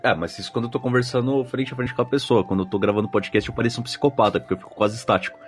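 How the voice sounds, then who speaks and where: A man's voice: medium pitch, steady tone, rapid-fire, soft background music. A man with a Brazilian accent chatting casually as a podcast co-host, explaining things in a colloquial way. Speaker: podcast co-host and game master. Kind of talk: casual chat answering listener feedback.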